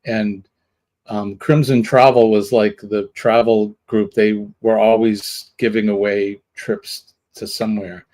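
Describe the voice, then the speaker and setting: A man's voice: on the low side, level tone, slow pace, mellow. A man in his sixties from New England co-hosting a casual live stream. He explains local things in an easy, conversational way and reads viewer comments aloud. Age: 60s.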